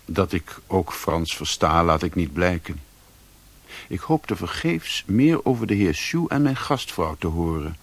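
A brooding adventurer telling his own story in a radio drama. His voice is low and creaky with a husky rasp, literary and world-weary. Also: lively intonation, measured pace, heavily acted. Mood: brooding, reflective. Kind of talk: scripted first-person radio drama narration.

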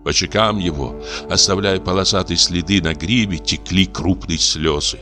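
A man's voice: low-pitched, normal speed. A man reading literary fiction as a dramatic audiobook narrator. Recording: music under the narration. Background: music.

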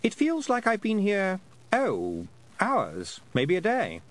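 A man's voice: high voice, wildly swooping pitch, slow pace, pitched above the performer's own voice, full character voice. A man reading aloud, voicing an anxious, chatty twelve-year-old boy who rambles and hesitates.

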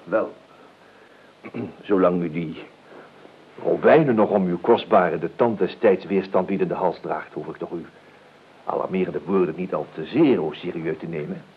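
Husky, urbane, ironic nephew. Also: male voice, deep voice, fairly even intonation, moderate pace, long pauses. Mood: suave, drily evasive. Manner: hesitant, florid, ironically polite. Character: urbane, evasive nephew, drily ironic and mock-courteous. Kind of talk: Dutch radio play acting. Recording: dull lo-fi radio recording.

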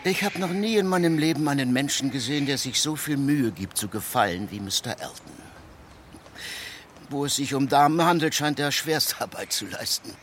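An actor playing a blunt, irritable middle-aged gentleman with curt, sardonic speech. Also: mid-range voice, expressive, moderate pace, a little hiss or hum, close to an everyday voice. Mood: dry. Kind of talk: radio play acting.

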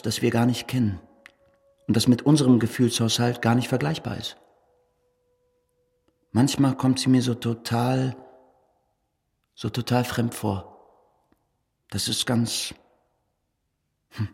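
A man's voice: mid-range voice, fairly even intonation, unhurried, creaky, pauses often, darkish tone, slightly rough, full character voice. Earnest, worried man, hesitant. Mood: troubled, unsure. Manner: hesitant, searching, pleading. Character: worried, earnest male writer and close friend. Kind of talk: German radio play dialogue.

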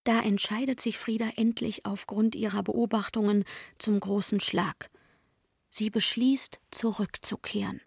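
A woman's voice: medium pitch, little pitch movement, a little slow, creaky, breathy edge, no echo, studio quality. A woman playing a young, jealous barmaid in a literary radio drama monologue, emphatic, brooding and aggrieved. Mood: accusing. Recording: music playing behind the voice. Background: music.

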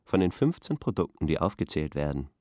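A man's voice: rumbling low, big pitch swings, fairly quick, mellow, dry recording, clean studio sound. An actor playing an earnest, pompous male intellectual who analyses like an academic in a radio play. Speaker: expert guest on a radio discussion. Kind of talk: radio play acting as an interview.

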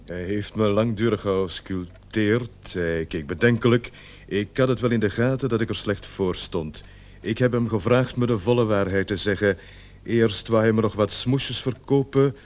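A male actor plays a gruff American police sergeant in a radio drama. His voice sits fairly low, and he speaks curtly and bossily, with little patience for his subordinate. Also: measured pace, close to an everyday voice. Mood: reading aloud. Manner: bossy, curt, irritable, swearing. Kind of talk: Dutch radio play acting.

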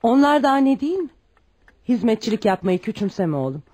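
A self-sacrificing widowed mother in a radio play. Her voice sits fairly high and is soft-edged and mellow, and she pleads with tender, emphatic devotion. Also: very animated, brisk. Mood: firm, tender. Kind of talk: scripted radio drama acting.

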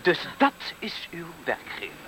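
An actor playing a pompous, excitable, wealthy gentleman doctor in a 1970s Dutch radio play, with florid, courteous manners. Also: fairly high pitch, unhurried, background noise. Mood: courtly, commanding.

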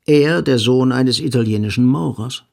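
A man's voice: mid-range voice, normal speed, gravelly. A man delivering scripted literary narration for a radio drama.

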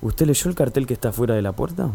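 A man's voice: medium pitch, animated, quick pace, vocal fry, mellow, slightly rough. A man playing a pompous, sarcastic casting director with an Argentine accent in a comic radio play. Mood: condescending. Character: haughty, scornful male casting director.

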